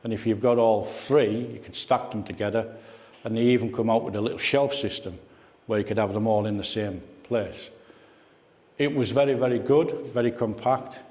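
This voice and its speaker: A man's voice: fairly low pitch, moderate pace, a little mellow. A man giving an informal club talk as guest speaker, conversational and reminiscing, putting questions to his listeners. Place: indoor club meeting room. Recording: echoey hall, club meeting room.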